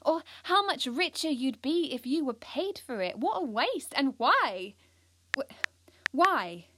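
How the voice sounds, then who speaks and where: High-voiced, earnest British schoolgirl. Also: slow pace, noisy background, full character voice. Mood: fired up. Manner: confiding, earnest, expressive. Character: Joan, earnest, ambitious, anxious schoolgirl narrating her life. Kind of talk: first-person audio drama narration.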